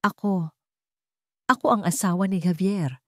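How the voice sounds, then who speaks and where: Nervous, determined Filipino wife, voiced by a narrator. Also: female voice, on the low side, fairly even intonation, a little slow, fairly bright tone, no room sound, clean studio sound. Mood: hushed confession. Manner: nervous, hesitant, resolute. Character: frightened but determined young wife posing as a housekeeper.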